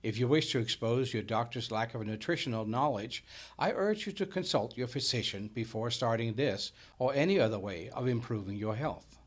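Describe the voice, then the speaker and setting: A man's voice: medium pitch, expressive, normal speed. A middle-aged man from Denmark with a Danish accent, a native Danish speaker, delivering a scripted sales presentation. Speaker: business owner and presenter.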